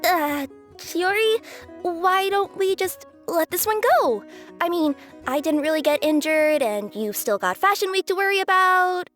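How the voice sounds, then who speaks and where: A woman's voice: very high voice, animated, unhurried, a bit bright, soft background music, exaggerated voice. A woman voicing an earnest young cat spirit courier in a video game, apologetic, hesitant and stammering. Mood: reluctant. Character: young female cat yokai courier, earnest, flustered, eager. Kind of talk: video game character acting.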